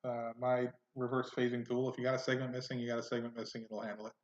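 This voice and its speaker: A man hosting a casual genealogy software tutorial, thinking aloud as he clicks through, with frequent "uh" and "um" fillers. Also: medium pitch, monotone, fast talker, highs cut off. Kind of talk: casual screen-recorded software walkthrough.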